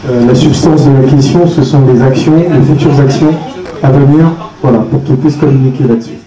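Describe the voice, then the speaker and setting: A man's voice: medium pitch, fairly quick, a crowd in the background. A man hosting a conference, casually encouraging the audience to speak, with hesitant fillers. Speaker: event host and moderator. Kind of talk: moderating a conference Q&A. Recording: distant, echoey room with faint ambience.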